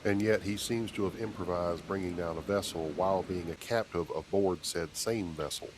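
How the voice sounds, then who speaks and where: An actor playing a commanding, protective starship captain in a video game, speaking in a measured, dry, authoritative way. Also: male voice, low voice, background noise, natural voice. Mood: skeptical. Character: steady, commanding male starship captain, dryly skeptical, protective. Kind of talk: video game character dialogue.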